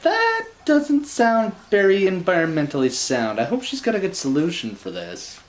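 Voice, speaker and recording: A man reading a children's bedtime story in an animated style, with wry, joking casual asides. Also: high-pitched, normal speed, a little hiss or hum.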